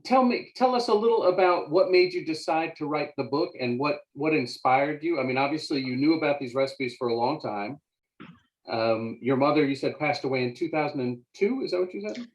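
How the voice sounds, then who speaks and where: A man's voice: on the high side, lively intonation, fairly quick, poor audio. A man moderating a book discussion, asking questions earnestly and often stammering as he restarts his sentences. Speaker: interviewer, moderator. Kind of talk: conversational interview.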